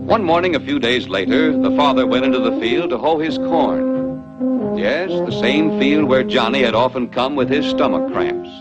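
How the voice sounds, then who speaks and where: A dull, vintage film soundtrack with loud music carries a man's narration. His pitch moves in lively swings as he explains things in a folksy, didactic storytelling way, in the scripted style of a 1940s educational film. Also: normal speed.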